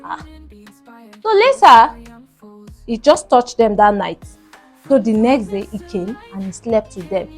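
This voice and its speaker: A woman's voice: fairly high pitch, expressive, a little slow, pauses often, soft background music. A woman hosting a story show, telling a first-person tale in an emphatic, dramatic way with repeated phrases.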